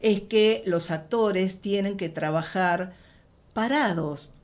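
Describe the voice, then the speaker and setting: A woman's voice: mid-range voice, takes it slowly. A woman with an Argentine accent, talking conversationally and in detail as a radio drama writer being interviewed. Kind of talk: conversational explaining.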